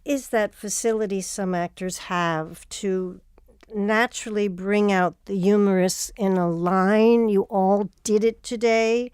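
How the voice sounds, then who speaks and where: A woman's voice: medium pitch, steady tone, measured pace, a little mellow, clean tone, no echo, studio quality. A woman hosting an arts radio program, moving between scripted announcements and interview questions.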